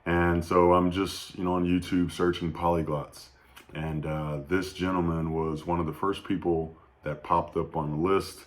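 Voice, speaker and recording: A man in his thirties with an extremely low, deep voice talks off the cuff in a personal video tribute. He speaks somberly and haltingly, full of pauses, fillers and restarts. A faint hum of ambience sits under the recording. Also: flat delivery. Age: 30s. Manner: somber, reflective. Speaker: YouTube vlogger.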